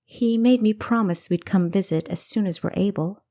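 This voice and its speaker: A woman voicing a gentle, consoling bride in a storybook reading, measured and placating. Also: mid-range voice, lightly acted. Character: consoling bride, gentle sister explaining things.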